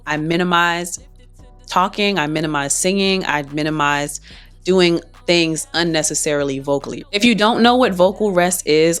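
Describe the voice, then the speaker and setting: Young woman vlogger, deep-pitched, soft-spoken and chatty, voice still mending from laryngitis, faint music underneath. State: recovering from laryngitis. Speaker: singer and vlogger. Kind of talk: casual talking-to-camera vlog.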